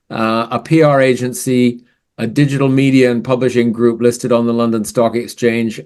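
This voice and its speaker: A man in his sixties from Canada, a podcast guest telling his career story in a relaxed, conversational way. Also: mid-range voice. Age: 60s. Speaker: podcast guest, finance strategist. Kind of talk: casual interview answers.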